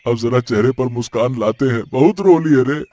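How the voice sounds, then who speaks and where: A man's voice: medium pitch, moderate pace, warm tone, natural voice. A man voicing a village farmer in a cartoon story, talking to an old friend. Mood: warm.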